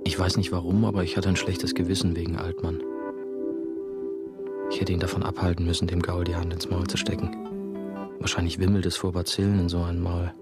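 An actor as low, monotone brooding young man. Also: brisk, pauses often, loud music behind the voice, close to an everyday voice. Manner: subdued, introspective. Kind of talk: scripted radio play narration.

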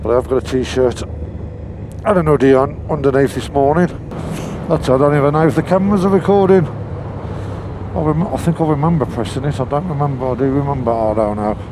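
A British man talks casually into a helmet mic while he rides a motorcycle. His voice sits in a middle pitch, and he rambles and pokes fun at himself. Steady wind and road noise run behind everything he says. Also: moderate pace. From Britain. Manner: rambling, self-mocking, scatterbrained. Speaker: motorcycle vlogger. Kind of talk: casual helmet-cam riding commentary. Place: on a moving motorcycle outdoors. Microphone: helmet-mounted mic.

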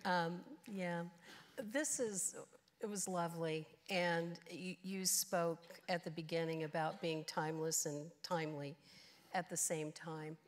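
A woman in her sixties moderating a panel on a large stage, halting with many fillers. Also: lowish voice, fairly even intonation. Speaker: session moderator.

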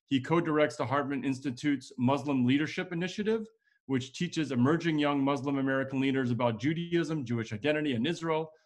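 A man in his thirties hosting a webinar on a video call, reading out guest introductions in a scripted, upbeat way. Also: mid-range voice. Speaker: host introducing panel. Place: home room with plants.

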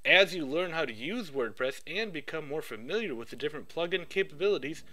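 A man giving a rehearsed project pitch with an upbeat sales-pitch delivery. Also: animated, fairly quick, pushed and bright.

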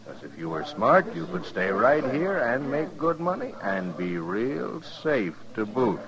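An actor playing a smug, sly gambler with a folksy, insinuating manner in a 1950s radio western. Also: male voice, medium pitch, sing-song, measured pace, gravelly, poor audio. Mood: smooth, needling. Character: smug, sly crooked gambler in the Old West. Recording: 1950s radio recording, crowd noise behind.

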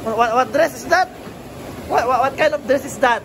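A man's voice: very high-pitched, fairly quick, a crowd in the background. A young man with a Filipino accent, teasing and joking playfully on a casual vlog outdoors. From Philippines. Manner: playful, teasing, repeats words. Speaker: vlogger. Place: outdoors at a waterfall and forest. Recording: noisy outdoor camera audio.

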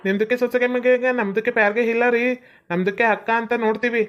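Brief: a man as a brash, fast-talking guy mangling his Kannada, dull lo-fi sound. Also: very high-pitched, fairly even intonation. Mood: arguing. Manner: odd broken phrasing, cheeky. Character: brash young man speaking broken Kannada. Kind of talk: comedy skit acting.

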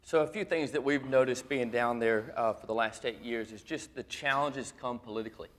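A man's voice: medium pitch, a little fast, fairly bright tone. Confident man in his thirties on a panel. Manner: fluent, confident, businesslike. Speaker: nonprofit executive director, panelist. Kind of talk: conference panel answer. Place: indoor conference stage with audience.

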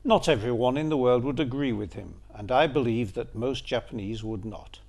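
Gravelly older man giving a lecture. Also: medium pitch, normal speed, a little mellow. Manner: dry, wry asides. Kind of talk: illustrated lecture narration. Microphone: seen on camera briefly, then voice-over.

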